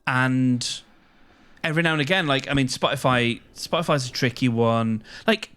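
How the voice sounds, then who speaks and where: Medium-pitched man in casual, halting chat. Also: a bit bright. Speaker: podcast co-host.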